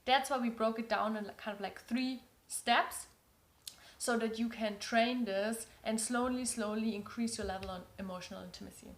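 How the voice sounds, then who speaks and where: A woman's voice: fairly high pitch, steady tone, normal speed, roomy sound. A woman with a German accent, a non-native English speaker, giving earnest relationship advice and repeating words for emphasis. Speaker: relationship coach. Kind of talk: advice talk to camera.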